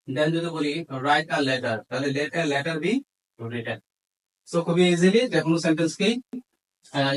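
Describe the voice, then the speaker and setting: A man's voice: on the high side, rough recording. A man with a Bangladeshi accent giving an instructional grammar lesson as a teacher, methodically dictating examples word by word. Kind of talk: instructional lesson mixing Bengali explanation with English examples.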